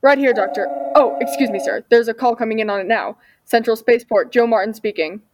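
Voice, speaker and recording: A woman's voice: fairly high pitch, fairly quick, darkish tone, close to an everyday voice. A woman playing an eager, obliging young male spaceport foreman in an old-time radio drama. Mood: brisk. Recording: distant mic, faint noise and clicks.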